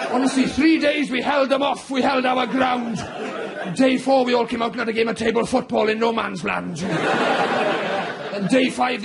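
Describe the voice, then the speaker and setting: A Welsh man hosts a stand-up show from a theatre stage, teasing the audience and building deadpan tall stories. His voice sits very high with a gravelly edge. A noisy live crowd fills the recording behind him. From Wales. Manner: chatty, teasing, mock-serious storytelling. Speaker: stand-up comedian and host. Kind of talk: stand-up comedy with crowd work.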